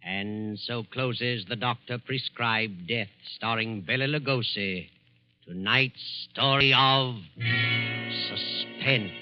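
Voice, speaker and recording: A man's voice: medium pitch, takes it slowly. American male radio narrator over dramatic music. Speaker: narrator and announcer. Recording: dull 1940s radio broadcast with music.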